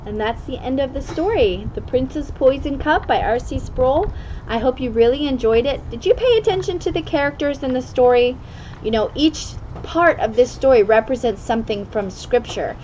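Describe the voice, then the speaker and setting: A woman with a fairly high voice reads a children's story aloud in an expressive, deliberate way, leaning on important words. The recording is a bit dull and lo-fi, with clear noise behind her.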